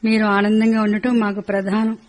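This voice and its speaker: A woman's voice: mid-range voice, little pitch movement, normal speed, soft background music, close to an everyday voice. An actress playing a supportive middle-aged wife and mother in a radio play. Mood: concerned. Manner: worried then supportive, soft. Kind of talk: radio drama acting.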